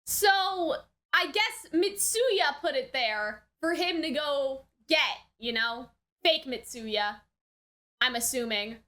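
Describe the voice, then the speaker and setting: A woman giving casual, unscripted reaction commentary as a video host, expressive, exclamatory and slangy, thinking out loud. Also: high-pitched, takes it slowly. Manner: chatty, thinking aloud.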